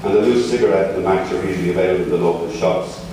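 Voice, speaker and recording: An Irish man reading his own memoir piece aloud at a book launch, measured with dry asides. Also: deep voice. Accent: Irish, not from Limerick. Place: large echoey museum hall at a book launch. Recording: echoey hall, voice from far off, noisy.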